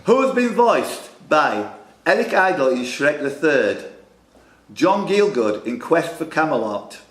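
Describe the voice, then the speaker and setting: A man's voice: fairly high pitch, big pitch swings, unhurried. A man hosting a quiz in a club room, reading out questions and bantering in a jokey, teasing way. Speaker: quiz host. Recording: echoey room recording with background noise.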